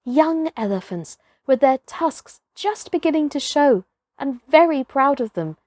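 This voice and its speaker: A woman narrating a children's storybook expressively, with dramatic, deliberate phrasing and strong vocal shading. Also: high-pitched, animated, a little mellow, no echo, studio quality. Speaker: storybook reader.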